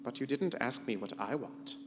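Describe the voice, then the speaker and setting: A man's voice: medium pitch, animated, normal speed, fairly bright tone. A man playing a pompous, scheming, morality-free ship's computer in a live comedic sci-fi radio play. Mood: haughty, scheming. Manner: pompous, theatrical, formal wording. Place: convention hall stage before live audience. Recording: echoey live hall, humming background noise.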